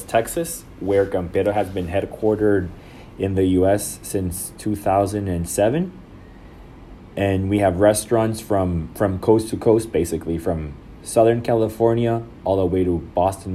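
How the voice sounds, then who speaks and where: A middle-aged man from El Salvador, a marketing director answering interview questions in a measured, businesslike way. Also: lowish voice, mellow, slight background noise. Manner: measured, businesslike, with some restarts.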